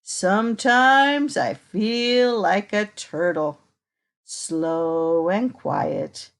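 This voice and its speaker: A woman reading a children's picture book aloud in an expressive, unhurried way, pausing to put inviting questions to young listeners. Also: mid-range voice.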